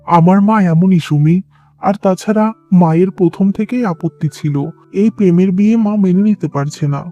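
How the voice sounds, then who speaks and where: A man's voice: high voice, moderate pace, dark tone, muffled recording, natural voice. A man voicing an earnest, pleading young son in love in a cartoon drama, over music. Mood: downcast.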